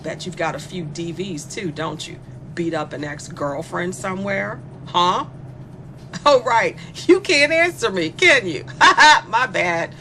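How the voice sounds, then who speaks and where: Taunting sadistic female killer, swooping and creaky. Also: fairly low pitch, expressive, a little slow, background noise. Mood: scornful, taunting. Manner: mocking, flirtatious, toying with the captive. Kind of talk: dark comic horror audio drama monologue. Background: music.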